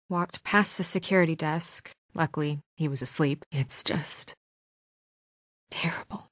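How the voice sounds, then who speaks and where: A woman playing a composed but anxious, dutiful young office administrator in a naturalistic video game drama, speaking in a measured, guarded, earnest way. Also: fairly low pitch, no room sound, studio quality, lightly acted. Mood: hushed, horrified.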